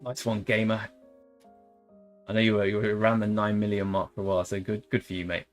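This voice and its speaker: A young man chats loosely while playing a strategy game on stream. His voice sits a little low and he rambles between jokes. Soft guitar music plays faintly underneath. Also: little pitch movement, brisk. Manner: jokey, rambling, tries silly accents. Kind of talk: casual live-stream chat over gameplay.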